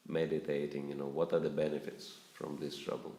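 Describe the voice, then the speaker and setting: A man's voice: a bass voice, monotone, fairly quick, warm tone. A man giving a spiritual talk to a live audience, asking them questions and chuckling often as he speaks. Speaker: spiritual teacher. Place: lecture room with audience. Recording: roomy echo.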